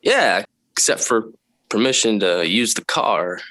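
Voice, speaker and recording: Whiny teenage radio sitcom son. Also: male voice, fairly low pitch, expressive, measured pace, bright, forceful tone. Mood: pleading. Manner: pleading, indignant, slangy. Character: whiny, indignant fifteen-year-old son, eager and melodramatic. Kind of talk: 1940s radio sitcom acting. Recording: vintage 1940s radio broadcast.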